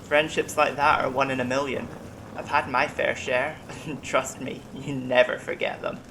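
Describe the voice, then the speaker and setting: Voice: medium pitch, level tone, normal speed, creaky, noisy background, close to an everyday voice. An actor playing an eccentric, long-lived alien medic in an audio drama, reflective, kindly and wryly self-deprecating. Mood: reassuring.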